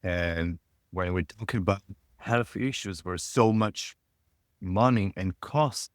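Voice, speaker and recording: A man leads the conversation as host. His voice sits fairly low. He talks in halting runs with frequent restarts, and the sound is close and dry. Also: no echo. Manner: halting, with many restarts and fillers. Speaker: podcast host. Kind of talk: conversational interview. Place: North America.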